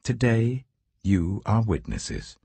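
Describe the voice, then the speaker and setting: A man acts out an earnest British landowner from a scripture story, speaking with public authority. His voice is very husky and swings widely in pitch, declamatory yet deliberate. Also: big pitch swings, warm tone, no echo, full character voice. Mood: proclaiming.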